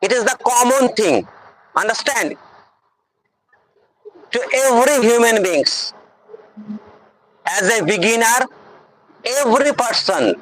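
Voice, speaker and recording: A woman's voice: medium pitch, poor audio. A woman with a Bengali accent, a native Bengali speaker, giving an earnest motivational English lesson and repeatedly checking that her audience understands. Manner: repetitive, earnest, addresses audience directly. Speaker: English teacher.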